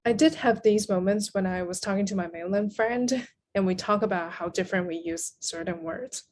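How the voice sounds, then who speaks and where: A woman's voice: mid-range voice, moderate pace, darkish tone. A woman from Taiwan with a Taiwanese accent, a native Mandarin speaker, talking conversationally as an interview guest. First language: Mandarin Chinese. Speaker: podcast guest, literary translator.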